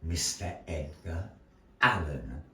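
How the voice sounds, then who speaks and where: A man's voice: rumbling low, lively intonation, slow pace, bright, forceful tone, slight background noise. A man narrating a live puppet show in a theatrical, playful way, chatting with the audience between parts of the story. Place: gallery venue, live show. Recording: echoey room, mic at a distance.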